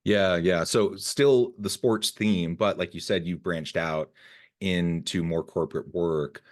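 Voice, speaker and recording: A man's voice: sits low, steady tone, slow pace. A man hosts an interview podcast in an upbeat, enthusiastic way. He sounds like he is talking over a remote call link. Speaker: podcast host. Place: home, south of Salt Lake City.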